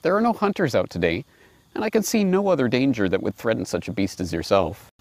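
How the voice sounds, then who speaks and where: A man's voice: medium pitch, big pitch swings, fast talker, darkish tone, no echo, lightly acted. A man voicing a curious fox, inquiring very politely in a storybook fable. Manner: courteous, inquiring.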